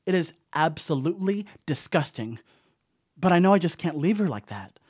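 A man's voice: a little high, animated, moderate pace, darkish tone, slightly rough, dry recording, studio quality. A man playing a rattled young slacker telling his horror story in a radio play, in a conversational, rambling, wry way. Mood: rattled, disgusted. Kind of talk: first-person horror monologue in a radio play.

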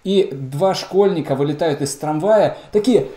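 Host, man, mellow teasing storyteller, headset, echoey room. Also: fairly high pitch, a little slow. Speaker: channel host. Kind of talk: casual video call chat. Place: indoors, small room. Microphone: headset on video call.

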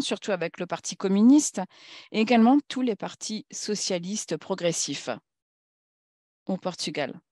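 A woman speaking at a conference in a formal political talk, halting and searching for words as she goes. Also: fairly low pitch, a little mellow, no room sound, studio quality.

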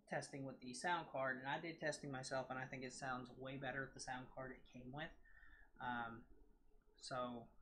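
A man in his twenties with a nasal voice, chatting casually to camera in a rambling, excited way full of fillers and restarts, recorded close on a desk mic in a large room at home. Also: medium pitch, slight background noise. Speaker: gaming vlogger. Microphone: desk mic on a scissor arm, close.

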